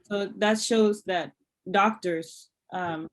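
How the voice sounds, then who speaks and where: Encouraging interviewer with mid-pitched voice. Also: steady tone, slow. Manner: encouraging, frequent short affirmations.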